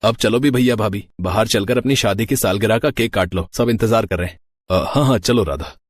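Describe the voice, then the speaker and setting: A man's voice: lowish voice, a little fast, natural voice. A man voicing an affectionate young husband in a family moral story. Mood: cheerful.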